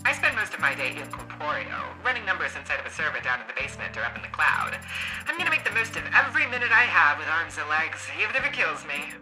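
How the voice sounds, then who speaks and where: A woman voicing a brash, flamboyant, chatty female android court adjutant in a video game, loud and theatrical. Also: low-pitched, big pitch swings, fast, music in the background, pitched above the performer's own voice, rough recording. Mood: animated, breezy. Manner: loud, theatrical, swooping, playful. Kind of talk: video game character voice acting.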